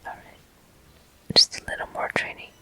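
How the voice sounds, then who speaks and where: A whispering game host giving soft, deliberate ASMR commentary with frequent pauses, close to the microphone. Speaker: host of gameplay walkthrough.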